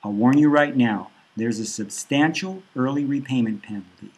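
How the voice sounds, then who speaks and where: A man voicing a cold, menacing female bank loan officer who lays out threats in a flat, businesslike way. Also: mid-range voice, normal speed, breathy edge, close to an everyday voice, rough recording. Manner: cool, threatening, matter-of-fact.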